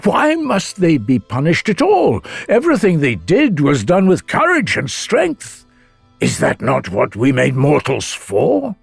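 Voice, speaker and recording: Man voicing a high, earnest sun god. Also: huge pitch swings, measured pace, vocal fry, husky, no room sound, soft background music, heavily acted. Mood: protesting. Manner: earnest, persuasive, declamatory. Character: noble male sun god, reasoning and reproachful.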